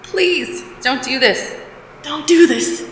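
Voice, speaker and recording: Echoing reverb, actress as crying young woman, horror radio play victim, very high voice, pleading desperately. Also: fairly bright tone. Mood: terrified, pleading. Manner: pleading, drawn-out cries. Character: terrified young woman victim begging for mercy. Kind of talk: horror audio drama acting. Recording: echoey reverberant room, faint noise.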